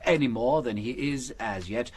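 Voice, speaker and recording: An actor playing a mild-mannered, shrewd British priest detective in a radio drama, explaining politely with small hesitations. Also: male voice, medium pitch, normal speed, a little mellow, slight background noise, natural voice. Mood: patient explaining.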